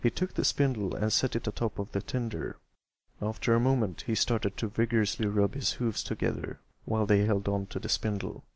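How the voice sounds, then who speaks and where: A man's voice: lowish voice, a little fast, vocal fry. A man giving a scripted narration of a fan fiction story as an amateur reader.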